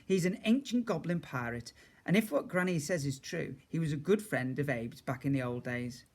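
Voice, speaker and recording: A man's voice: a little high, moderate pace, a little mellow. A man reading a children's story aloud as a teacher would, expressively, with chatty asides to his young listeners.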